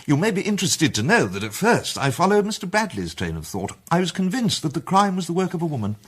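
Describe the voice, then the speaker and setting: An actor with an English accent playing an urbane, self-assured gentleman detective, polished and theatrical in a radio drama. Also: a little high, big pitch swings, fast talker, slightly rough. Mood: confident, enthusiastic. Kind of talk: British radio drama acting.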